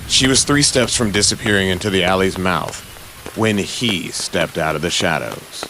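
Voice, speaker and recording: A man's voice: deep voice, moderate pace, pushed and bright, slight background noise, low-quality recording. A man narrating an audio drama in a suspenseful, dramatic scripted style, with short punchy phrases.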